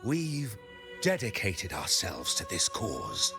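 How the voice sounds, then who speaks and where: An actor playing a pious, lofty high-ranking cleric in a video game, formal, measured and preachy. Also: male voice, wildly swooping pitch, exaggerated voice. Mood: solemn, preaching. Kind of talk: theatrical video game voice acting. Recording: music behind the voice.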